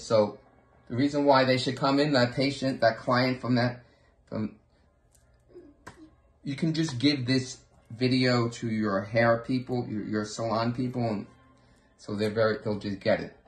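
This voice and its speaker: A man's voice: medium pitch, moderate pace. Emphatic male presenter in an echoey room. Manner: earnest, emphatic, repetitive, with rhetorical questions. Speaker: presenter training hair-loss clinic professionals. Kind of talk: informal instructional sales presentation. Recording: echoey, roomy sound.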